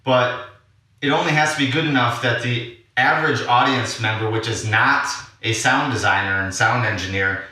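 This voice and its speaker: A man's voice: medium pitch, moderate pace, sharp tone. A man talking casually and unscripted, explaining his equipment as a vlog host. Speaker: audio drama maker presenting his equipment. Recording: very echoey room, distant from mic.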